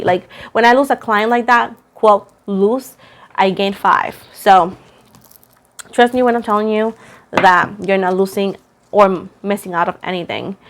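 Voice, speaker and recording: A woman hosts a tarot reading in a casual, talkative way. Her voice sits at a medium pitch. She rambles, pokes fun at herself and repeats phrases to drive a point home. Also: noisy background. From Puerto Rico. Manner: rambling, self-deprecating, repeats phrases for emphasis. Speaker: tarot reader, host.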